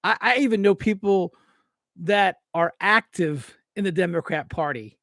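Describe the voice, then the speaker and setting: Male American political talker, high-set voice, combative and plainspoken, informal delivery, very dry recording. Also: a little slow, bright, forceful tone, dry recording. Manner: blunt, combative, folksy, repeats phrases for emphasis. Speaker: talk show host and local political candidate. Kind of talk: opinionated political rant, informal and off-the-cuff.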